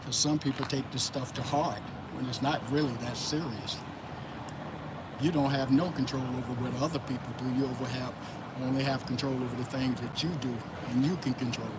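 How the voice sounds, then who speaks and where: A man's voice: medium pitch, steady tone, brisk. A man from Florida hosting a casual motivational livestream, talking loosely and greeting chat viewers by name. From Florida, USA. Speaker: livestream host. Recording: loud traffic noise outdoors. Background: loud traffic noise.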